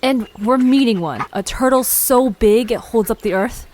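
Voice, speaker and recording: Actress as a inquisitive young woman, fairly high voice, wry, informal, audio drama dialogue, audible ambience behind. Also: moderate pace, darkish tone, a little hiss or hum, close to an everyday voice. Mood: curious, puzzled. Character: Alina, curious young woman visitor, eager and puzzled.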